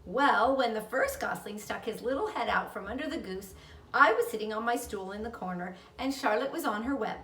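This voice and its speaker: A woman's voice: fairly high pitch, animated, a little fast, fairly bright tone, reverberant, far from the mic. A woman voicing a chatty, earnest young girl in a storybook, speaking eagerly and matter-of-factly.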